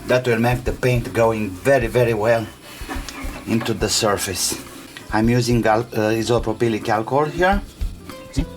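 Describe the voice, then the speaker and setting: A man who speaks English as a second language, hosting a casual hands-on tutorial in simple, halting step-by-step phrases. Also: medium pitch. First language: not English. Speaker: DIY tutorial host. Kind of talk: casual hands-on how-to narration. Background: music.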